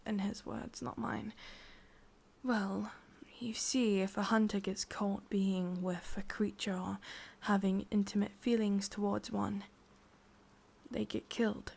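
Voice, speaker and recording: Breathy whispering English witch, played by a woman. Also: mid-range voice, steady tone, heavily acted. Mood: coolly confiding. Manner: soft, intimate whispering, teasing and unhurried. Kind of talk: whispered ASMR roleplay.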